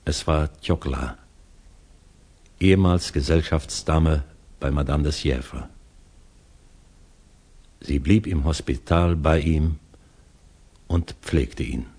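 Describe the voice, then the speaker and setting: A man acting as the grave narrator of a 1960s German radio drama, measured and solemn, with a husky voice. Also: rumbling low, a little mellow, heavily acted. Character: grave male storyteller recounting a grim tale.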